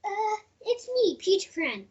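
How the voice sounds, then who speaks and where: A man's voice: extremely high pitch, sing-song, slow pace, voice pushed up, full character voice. A man voicing an embarrassed, flustered peach crayon in a children's storybook, pleading anxiously. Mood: embarrassed, panicky. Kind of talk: children's storybook read-aloud. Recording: dull lo-fi sound.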